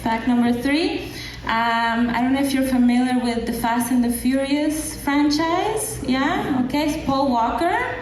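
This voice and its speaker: A woman's voice: high voice, measured pace, a little hiss or hum. A young woman from El Salvador doing a stand-up set on a live stage, with dry, self-deprecating delivery and frequent fillers and restarts. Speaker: stand-up comedian. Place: live stage before an audience. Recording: echoey live room, distant mic, audible audience.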